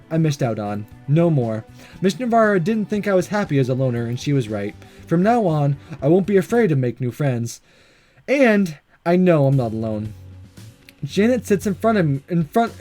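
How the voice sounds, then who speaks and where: Animated man narrating a game. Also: a little high. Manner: animated, laughing, jokey asides. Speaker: gaming video host. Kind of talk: let's play narration and commentary.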